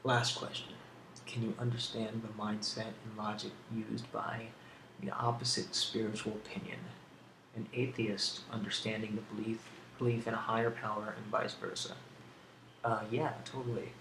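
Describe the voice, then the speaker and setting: A man hosting a casual ASMR question-and-answer video, speaking in a halting, rambling, hesitant way on an amateur home recording. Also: lowish voice, level tone, gravelly, reverberant, noisy background. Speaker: video host.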